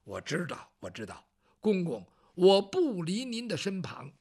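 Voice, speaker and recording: Brief: a storyteller playing a quiet, polite young official, low and soft-spoken. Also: huge pitch swings, moderate pace, breathy edge, exaggerated voice. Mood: deferential. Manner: quiet, terse, deferential. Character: dutiful, reserved young official. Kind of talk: traditional Chinese storytelling.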